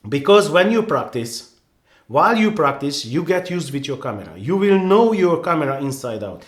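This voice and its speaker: A man's voice: a little high, sing-song, moderate pace, echoey room. A man with a Hungarian accent, a native Hungarian speaker, giving earnest, rambling photography advice with many restarts and "you know"s in a casual vlog.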